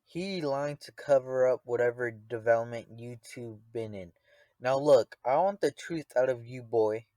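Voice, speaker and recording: A man's voice: medium pitch, normal speed, dark tone, dry recording, lightly acted. A man playing a stern, distrustful father in a radio drama, with a commanding, accusing manner. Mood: stern, angry. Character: stern, distrustful, bickering father of two children.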